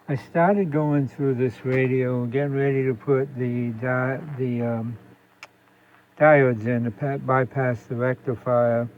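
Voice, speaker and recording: A man talking casually through a hands-on repair demonstration, halting as he thinks aloud, recorded on a phone camera. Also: mid-range voice. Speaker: radio repair hobbyist. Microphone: phone camera propped near the workbench. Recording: lo-fi phone recording with faint noise.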